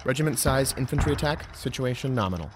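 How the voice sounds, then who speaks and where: A man's voice: mid-range voice, expressive, fast, noisy background, close to an everyday voice. An actor playing an earnest young Roman nobleman and officer in an audio drama, speaking with formal diction. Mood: crisp, dutiful. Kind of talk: full-cast audio drama acting.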